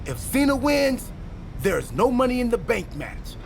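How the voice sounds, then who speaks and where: A high, gravelly, excitable goofball wrestler. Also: male voice, unhurried, a little hiss or hum, heavily acted. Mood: excited. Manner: animated, earnest delivery of absurd ideas. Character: excitable, goofy, scatterbrained male pro wrestler with a time machine. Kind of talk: scripted video game cutscene dialogue.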